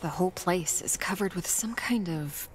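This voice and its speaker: A woman playing an observant scientist explorer in a sci-fi video game, speaking in a measured, analytical way. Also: fairly low pitch, background noise, lightly acted. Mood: wary. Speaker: game protagonist. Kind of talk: scripted video game voice acting, spoken log entries and musing aloud.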